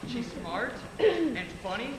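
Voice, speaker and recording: A man plays a well-off preppy teenager, defensive among friends, in a stage comedy. The voice rings in a very echoey hall with clear background noise. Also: very high-pitched, big pitch swings, slow pace, heavily acted. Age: young adult. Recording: cavernous echo, noisy stage recording.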